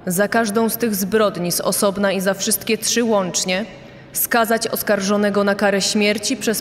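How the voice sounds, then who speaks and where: A woman's voice: mid-range voice, natural voice. Woman playing a solemn judge, echoing hall. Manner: formal, solemn. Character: formal, stern female judge reading a sentence. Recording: echoey courtroom-style hall, noisy ambience.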